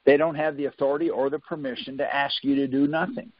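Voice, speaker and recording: A man's voice: fairly high pitch, fairly even intonation, quick pace, no room sound. A man teaching informally on a group call, speaking in a folksy, insistent way and often checking that listeners follow. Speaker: teacher leading a conference call. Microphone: phone conference line. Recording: dull, lo-fi conference call audio.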